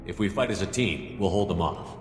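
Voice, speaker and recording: A man's voice: deep voice, lively intonation, fairly quick, music in the background. An actor playing a level-headed male military commander in a video game cutscene, speaking in a matter-of-fact, dry way. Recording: reverberant game mix with score.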